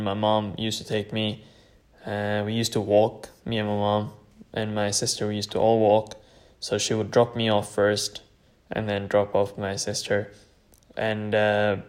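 A man in his late teens from Norway, tired late at night, rambling hesitantly as he tells his own story on a solo podcast. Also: lowish voice. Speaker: podcast host.

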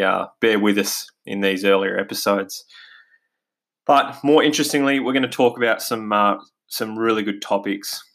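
A man's voice: fairly low pitch, a bit bright. A middle-aged Australian man hosting his own podcast in a casual, eager monologue, with plenty of fillers and restarts, on basic home recording gear. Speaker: podcast host. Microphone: home podcast mic, basic quality.

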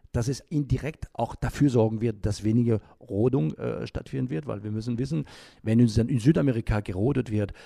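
A man giving unscripted interview answers, haltingly, often pausing and searching for his words. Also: on the low side, creaky, dark tone, no room sound, studio quality. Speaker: interview guest, environmental group founder.

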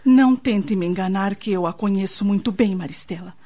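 A woman acting an anxious grandmother in a radio soap. Her voice is husky and dark, worrying over her family, on a lo-fi recording. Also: medium pitch, fairly quick, lightly acted. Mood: concerned. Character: anxious, fretful mother and grandmother.

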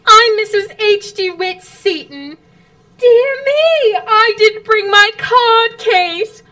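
A woman's voice: extremely high pitch, animated, slow pace, bright, forceful tone, heavily acted. A woman playing an anxious, flustered society lady in a radio drama, pleading in an imploring, breathless, theatrical manner. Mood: tearful pleading.

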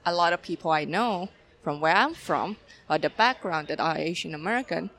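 A young woman from Thailand with a Thai accent, a film director answering interview questions in a halting, casual way with many fillers. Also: lowish voice, animated, a bit bright.

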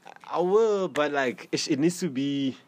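A young South African man with a South African accent, hosting a podcast and talking in a relaxed, rambling way full of slang. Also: on the high side, measured pace. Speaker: podcast host. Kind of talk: casual interview chat. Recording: faint background noise.

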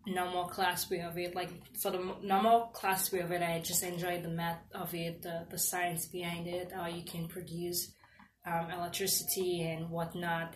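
A young woman from Nigeria, an engineering student, answering interview questions in a loose, chatty way with fillers. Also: fairly low pitch. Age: young adult. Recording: roomy echo, faint noise.

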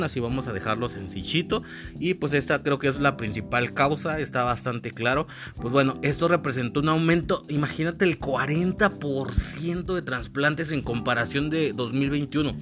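A man with a Mexican accent hosting a casual solo podcast, chatty and informal, with frequent fillers and restarts. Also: medium pitch. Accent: Mexican Spanish. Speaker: podcast host.

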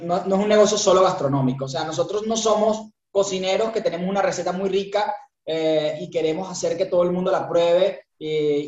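A Venezuelan man with a Venezuelan Spanish accent, a business founder being interviewed, explaining his ideas in an animated way with many fillers. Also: fairly high pitch. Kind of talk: interview answers. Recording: roomy, dull audio with music.

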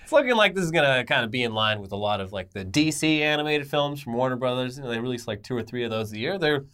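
Animated man bantering through entertainment news. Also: medium pitch, fast talker, clean tone. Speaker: co-host of an entertainment news show. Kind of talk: conversational news commentary with banter.

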